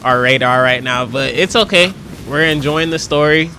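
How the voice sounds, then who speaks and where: Male gaming host, casual and slangy, bright forceful voice, faint background noise. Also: mid-range voice, moderate pace. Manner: informal, slangy. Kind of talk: casual gameplay commentary.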